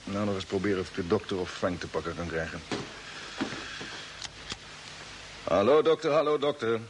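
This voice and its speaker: An actor playing a determined expedition commander in an old radio drama, with a commanding, earnest manner. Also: male voice, fairly low pitch, fast talker, natural voice. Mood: businesslike, searching. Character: determined male space expedition commander on a Mars mission. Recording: old radio recording with faint hiss.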